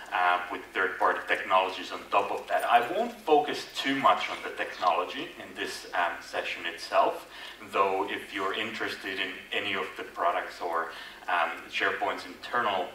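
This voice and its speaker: A man's voice: sits low, pushed and bright. A man from Finland with a Finnish accent tinged with Irish, giving a casual, self-deprecating conference talk with many fillers and restarts. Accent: Finnish, with Irish influence. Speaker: conference speaker. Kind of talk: conference presentation. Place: large conference hall. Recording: echoey hall, distant mic, faint noise.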